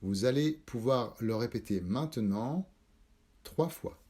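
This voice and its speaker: A man leading a guided yoga nidra meditation, calm and measured, instructing in short spaced phrases. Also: mid-range voice, breathy edge. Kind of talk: slow guided relaxation meditation.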